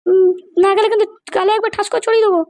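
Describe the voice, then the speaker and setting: A woman's voice: very high-pitched, steady tone, racing, vocal fry, darkish tone. A woman voicing a feisty, scolding young granddaughter in a comedy cartoon. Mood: indignant, scolding. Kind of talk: amateur comedy cartoon dub.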